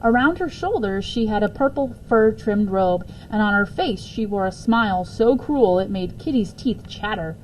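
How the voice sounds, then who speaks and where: A woman reading a children's book aloud as storyteller, expressive in her narration. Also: mid-range voice, normal speed, hardly any pauses, poor audio. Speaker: story reader. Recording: faint background noise.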